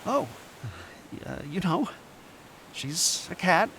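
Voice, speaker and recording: A man's voice: high voice, sing-song, slow, bright, forceful tone, full character voice. Man acting a reluctant academic guy, hesitant and earnest, audio drama, noticeable hiss behind him. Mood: hesitant, guarded. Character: reluctant, troubled male anthropologist, earnest and evasive.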